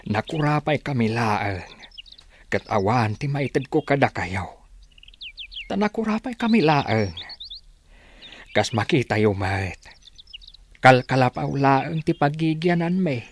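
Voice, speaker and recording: A man's voice: medium pitch, animated, slow pace, pauses often. A man playing a poor, humble village father with an Ilocano accent, pleading yet firm to protect his child in a radio drama. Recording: noisy voice recording.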